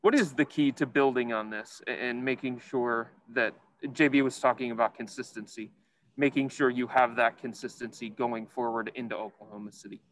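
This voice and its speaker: A man working as a sports reporter, asking a player measured, detailed questions at a press conference. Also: mid-range voice, steady tone, a little hiss or hum, poor audio.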